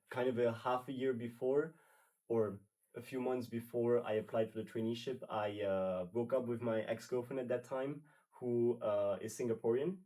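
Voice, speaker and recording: A man's voice: mid-range voice, dark tone, far from the mic, poor audio. A man trainee telling his story in a roundtable, rambling and correcting himself as he goes. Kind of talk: casual roundtable chat.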